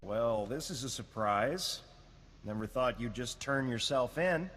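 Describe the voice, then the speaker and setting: A man voicing a smug, cautious government agent in a video game, measured, sardonic and understated. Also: mid-range voice, lively intonation, a bit bright. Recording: long hall reverb, background noise.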